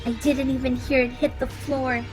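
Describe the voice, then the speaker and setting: A woman reading a children's picture book aloud, playful and expressive. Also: high-pitched, normal speed, music in the background. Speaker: children's story reader. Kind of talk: read-aloud storytelling.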